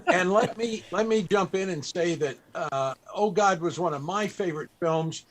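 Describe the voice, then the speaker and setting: An older man hosting an interview, chatty and a bit halting, with frequent chuckles. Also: high-pitched. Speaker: panel host.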